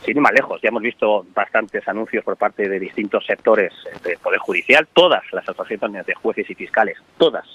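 A man giving worried, analytical economic commentary on the radio, pausing often on "eh". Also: lowish voice, sharp tone, breathy edge, dull audio. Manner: worried, analytical, with frequent "eh" fillers.

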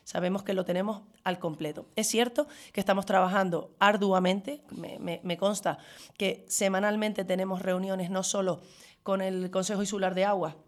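A woman's voice: mid-range voice, fairly even intonation, moderate pace. A woman answering in an interview as a councillor, emphatically listing her points phrase by phrase. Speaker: town councillor.

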